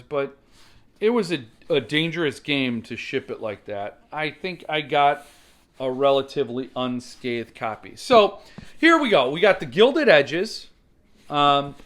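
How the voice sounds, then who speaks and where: A man with a somewhat high voice hosts a casual unboxing. He talks conversationally and gives his opinions plainly as he explains the details of what he is showing. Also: a bit bright. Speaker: book collector reviewer, channel host.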